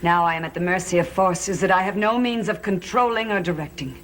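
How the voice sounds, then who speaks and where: A woman's voice: fairly low pitch, fairly quick, fairly bright tone, slight background noise, lightly acted. An actress playing a fearful, wary psychic woman in a gothic television soap, speaking in a grave, dramatic manner. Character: fearful, wary psychic woman who lost her powers. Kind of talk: 1970s gothic TV soap opera acting. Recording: old TV soundtrack with music underneath.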